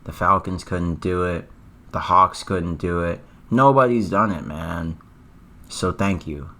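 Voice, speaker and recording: A man with a hoarse, worn-out voice hosts his own esports news vlog. He sits low in pitch and talks to camera in a loose, casual way, eager and keen on doubling up words for emphasis. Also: slow pace, pushed and bright. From Atlanta, US. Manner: enthusiastic, repeats words for emphasis.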